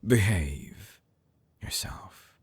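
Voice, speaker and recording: A man playing a suave, obsessive villain scientist in an intimate audio drama, murmuring with silky condescension in a breathy, theatrical way, close to the mic. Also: animated, very slow, whispered, full character voice. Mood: smooth, condescending. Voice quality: low, breathy, husky murmur. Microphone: close binaural microphone.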